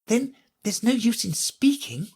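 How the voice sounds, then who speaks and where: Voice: mid-range voice, big pitch swings, measured pace, gravelly, darkish tone, husky, voice pushed up, heavily acted. A reader voicing a polite but impatient young girl in a storybook. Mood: thoughtful.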